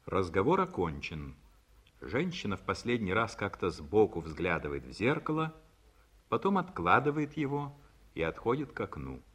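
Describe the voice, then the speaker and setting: Low, husky male radio drama narrator. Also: very animated, normal speed, fairly bright tone. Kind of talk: scripted radio play narration, reading stage directions.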